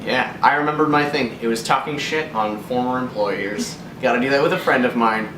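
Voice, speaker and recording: A woman's voice: rumbling low, brisk. A young adult woman, co-hosting with sarcastic, sweary, quippy commentary in a casual comedic style. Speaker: co-host. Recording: echoey room, distant mic, noisy.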